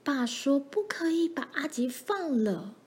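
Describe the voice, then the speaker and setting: A woman voicing a fearful, cautious young girl in a storybook, speaking in a soft, timid whisper. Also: high-pitched, sing-song, unhurried, pitched above the performer's own voice. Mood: timid, worried. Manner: timid, hushed.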